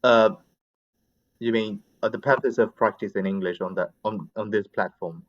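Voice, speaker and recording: A man's voice: lowish voice, steady tone, moderate pace, dull audio. A man chatting in an English conversation session, explaining his opinions in a wordy way as he searches for words. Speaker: English conversation practice participant. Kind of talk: casual chat, explaining opinions.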